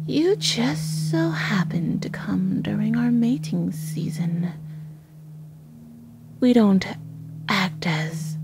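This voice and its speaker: A woman voicing a possessive, playfully menacing female snake monster in an ASMR roleplay, breathy and often slipping into a whisper. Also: medium pitch, very noisy. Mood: sly, coaxing. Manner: slow, teasing, giggling menace. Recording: music under the voice. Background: music.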